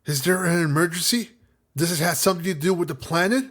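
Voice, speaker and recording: Anxious, higher-pitched space crewman. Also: fast, a bit bright. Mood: questioning. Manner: earnest, worried. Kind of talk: audio drama acting.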